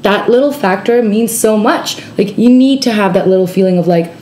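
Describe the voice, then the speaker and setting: Woman, panelist, hedging, mellow, roomy sound. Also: mid-range voice, normal speed, slight background noise. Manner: thoughtful, hedging. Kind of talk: casual panel discussion. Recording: echoey room.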